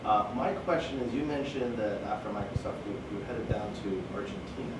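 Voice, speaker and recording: A man speaks up from the audience in a roomy hall, picked up from a distance. His pitch is average and he rambles hesitantly toward his question, with clear noise around him. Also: a little fast. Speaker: audience member asking a question. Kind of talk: informal live question.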